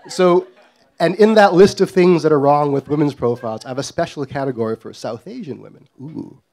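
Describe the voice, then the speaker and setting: A man's voice: a little high, a little fast, a little mellow. A middle-aged man from Guyana telling a comic story on stage in a wry, self-deprecating way. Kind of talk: live comedic storytelling.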